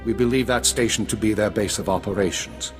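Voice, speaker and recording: Man as a low, grave spymaster minister. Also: faint music behind, natural voice. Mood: grave, commanding. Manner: measured, formal, weighty. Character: grave, authoritative older male intelligence minister. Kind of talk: video game drama acting.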